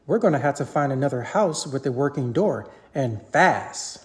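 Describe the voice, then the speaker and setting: A big furry male monster from a children's storybook as a reader plays him. He is worried but takes charge, giving urgent instructions with animated pitch. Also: moderate pace, natural voice.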